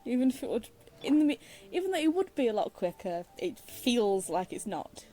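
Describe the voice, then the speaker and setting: A woman's voice: a little high, big pitch swings, moderate pace, warm tone, faint music behind. A woman streaming a video game with casual, rambling commentary, giggly and often interrupting herself. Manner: giggly, self-interrupting, meandering.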